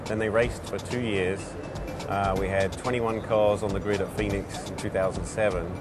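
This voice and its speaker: Middle-aged man, low pitch, animated restarts, trade show interview, music bed. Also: moderate pace. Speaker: racing series founder. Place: busy indoor trade show hall.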